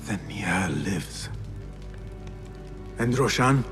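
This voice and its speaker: An actor playing a driven, vengeful warrior in a video game, intense, resolute and terse. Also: male voice, fairly low pitch, slow. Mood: urgent concern. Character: driven, vengeful male warrior in his prime, bitter and defiant. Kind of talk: cinematic video game acting. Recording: music behind the voice. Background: cinematic score.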